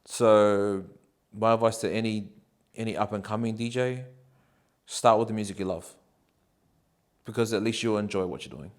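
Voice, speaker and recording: A man, answering interview questions in a relaxed, rambling way with many ums and likes. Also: fairly low pitch, normal speed, pauses often. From New Zealand. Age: 30s.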